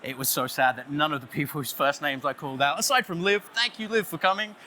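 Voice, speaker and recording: A man with an Australian accent giving a playful conference talk, loudly joking and chatting with the audience. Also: on the high side, fast, a little hiss or hum. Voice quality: loud voice. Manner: jokey, playful, chatty with the crowd. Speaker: conference speaker. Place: conference stage, audience on headphones.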